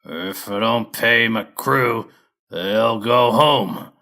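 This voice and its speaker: A man voicing a gruff, hairy lumberjack pony boss in a storybook, growling his words slowly, loudly and bluntly. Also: medium pitch, exaggerated voice. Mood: demanding. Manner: slow, loud, blunt delivery.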